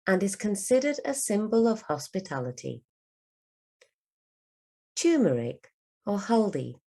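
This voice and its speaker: A woman with a low, mellow voice reads a children's book as a teacher would. Her delivery is slow and carefully enunciated, made for learners to follow along. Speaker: English teacher.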